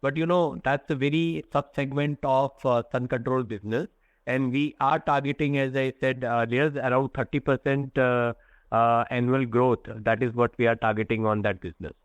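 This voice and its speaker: A man, a company executive explaining results to analysts in a halting, candid way. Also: medium pitch, clear, resonant tone, no room sound. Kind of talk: earnings call answers.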